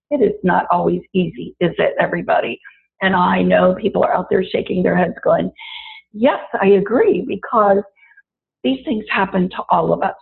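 Female wellness teacher, gravelly from recent illness, talks straight to listeners, thin phone-line sound. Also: mid-range voice. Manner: warm, chatty, addresses listeners directly. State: raspy voice after illness. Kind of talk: guided body-awareness talk. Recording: tinny phone-like audio.

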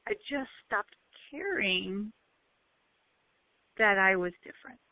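A woman giving a motivational talk with a loud voice, stretching out key words for emphasis. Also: medium pitch, lively intonation, dry recording. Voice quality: loud, bellowing voice. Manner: emphatic, drawn-out stresses. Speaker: motivational speaker. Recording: tinny phone-like line, dry.

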